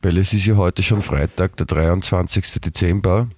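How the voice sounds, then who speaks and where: A man with an Austrian German accent co-hosting a podcast in playful, rambling banter, his voice hoarse and full of halting restarts. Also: low-pitched, steady tone, darkish tone, no echo. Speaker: podcast co-host. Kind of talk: casual banter.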